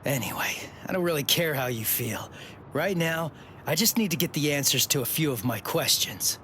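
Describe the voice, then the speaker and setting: A man's voice: fairly high pitch, animated, moderate pace, a bit bright, a little hiss or hum. An actor playing a cocky, stubborn teenage boy hero in a video game, blunt, casual and sarcastic. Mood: flippant, annoyed. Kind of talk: English video game voice acting.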